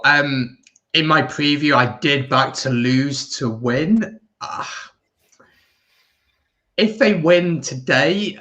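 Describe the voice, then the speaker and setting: A man chats as a guest pundit on a sports show. His pitch is average for a man. He offers measured opinions in a conversational way, often checking them with a tag question. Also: a bit bright. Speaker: guest pundit on a rugby watch-along. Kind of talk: casual sports analysis.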